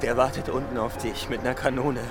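An actor playing a calm young detective with dry wit, who talks an armed man down in a radio drama. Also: medium pitch, brisk, over music, natural voice. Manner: calm, persuasive. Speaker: police inspector.

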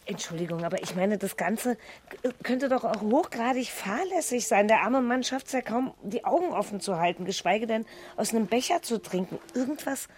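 A woman's voice: a little high, expressive, moderate pace, a little mellow, slight background noise, lightly acted. An actress playing a stressed, sarcastic woman in her forties in a naturalistic radio drama, dry and nagging. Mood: agitated, cutting. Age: middle-aged.

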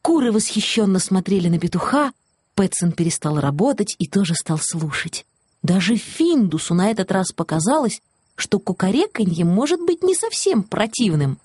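A woman's voice: mid-range voice, sing-song, moderate pace, a little mellow, slightly rough, dry recording, studio quality. A woman narrating a children's story audiobook from a script.

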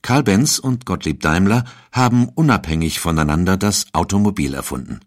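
A man's voice: sits low, expressive, normal speed, no echo, studio quality. A man narrating a scripted documentary.

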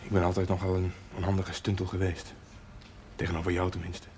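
An awkward, reserved man in a television drama, played by a male actor. His voice is fairly low and he talks quickly. The seventies soundtrack carries light noise. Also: completely flat delivery, darkish tone, natural voice. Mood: awkward, restrained. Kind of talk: Dutch 1970s television drama acting. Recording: noisy 1970s television soundtrack.

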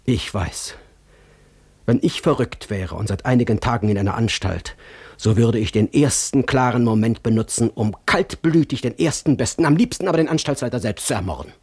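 An actor playing a defiant intellectual, declaiming a polemic with deliberate, emphatic articulation in a radio drama. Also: male voice, medium pitch, expressive, a little mellow, husky, dry recording. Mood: cold, defiant. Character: defiant male intellectual coldly denouncing confinement.

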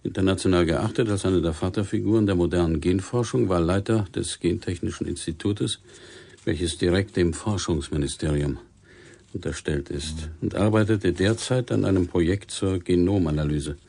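A man playing a sober, patient newspaper editor in a radio drama, speaking in a measured, matter-of-fact way. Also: low voice, creaky, dark tone.

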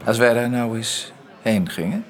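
An actor playing a self-assured, sardonic intellectual in a radio play, with a wry, assertive, naturalistic delivery. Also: male voice, medium pitch, fairly even intonation, a little slow, close to an everyday voice. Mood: probing, assured. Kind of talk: naturalistic radio drama acting.

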